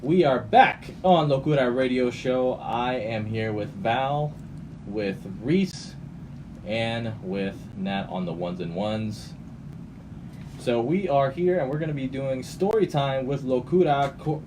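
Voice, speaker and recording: A man's voice: medium pitch, expressive, a little slow. A man hosting a radio show with casual, jokey and teasing banter. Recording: noisy room, distant mic.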